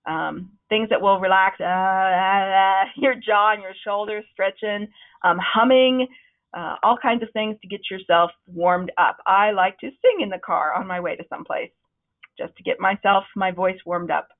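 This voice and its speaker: A woman leading a webinar on presenting, with a loud, projecting voice that is starting to wear out, talking in a conversational, encouraging way with frequent ums. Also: medium pitch, normal speed. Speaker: presentation trainer. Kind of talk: webinar talk. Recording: narrow, phone-like webinar audio.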